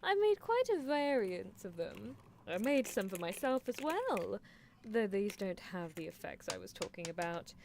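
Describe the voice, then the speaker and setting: A woman's voice: mid-range voice, sing-song, moderate pace, gravelly, noisy background. A woman playing a possessive, coolly unethical female mad scientist in a live ASMR roleplay, coaxing the listener with theatrical delivery. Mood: playful, musing. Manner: languid, theatrical, coaxing, with soft chuckles.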